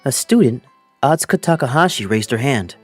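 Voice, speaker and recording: A man's voice: mid-range voice, animated, brisk, husky, faint music behind. A man voicing an earnest twenty-five-year-old male teacher who narrates his own story and acts out his lines in a manga dub. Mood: hopeful, earnest. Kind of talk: first-person manga dub narration with acted dialogue.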